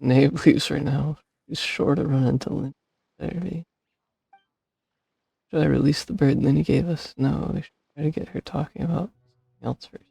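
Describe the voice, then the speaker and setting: Male streamer, higher pitch, very creaky, casual game musing, dry recording, faint music. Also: steady tone, normal speed, pauses often, mellow, dry recording. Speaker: streamer playing a video game. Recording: dry close sound over game music.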